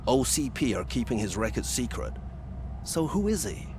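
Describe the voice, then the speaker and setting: An actor playing a wary, self-assured prison warden in a video game, speaking in a deliberate, conspiratorial manner. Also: male voice, medium pitch, very animated, background noise, close to an everyday voice. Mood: wary, suspicious. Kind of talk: video game cutscene acting.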